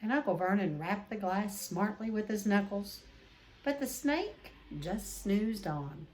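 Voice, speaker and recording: Slow-paced woman reading a children's story. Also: mid-range voice, lively intonation, darkish tone, a little hiss or hum, low-quality recording. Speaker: read-aloud storyteller. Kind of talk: expressive children's book reading.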